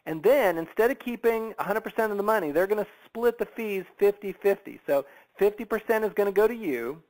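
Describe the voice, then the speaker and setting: A man with a notably high voice delivers an enthusiastic sales presentation. He is emphatic and persuasive, yet keeps it conversational, checking in with rhetorical questions as he goes. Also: lively intonation, a little fast, a little mellow, rough recording. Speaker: sales presenter on a business opportunity conference call.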